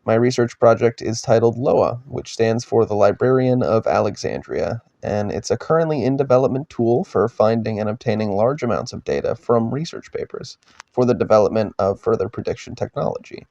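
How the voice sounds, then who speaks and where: Young man, raspy from a cold, gravelly, dry close mic, explanatory slide talk. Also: mid-range voice, fairly quick, no echo, dull audio. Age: young adult. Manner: steady, explanatory, trails off at sentence ends. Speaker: undergraduate researcher presenting. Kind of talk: scripted slide presentation narration.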